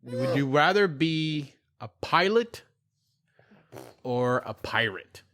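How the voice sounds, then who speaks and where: A man's voice: mid-range voice, expressive, slow pace, a bit bright. A man hosting a casual family podcast, asking playful questions and reacting with amused exasperation. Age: adult. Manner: playfully exasperated, laughing along with children. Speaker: podcast host and father. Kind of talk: casual family chat, posing would-you-rather questions.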